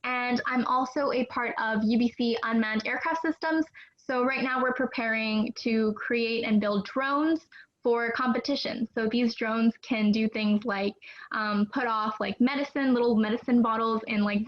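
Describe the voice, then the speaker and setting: A woman's voice: a little high, steady tone, normal speed, lo-fi sound. A young woman, from British Columbia, explaining things casually as a student panelist. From British Columbia, Canada. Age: young adult. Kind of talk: casual explanatory self-introduction.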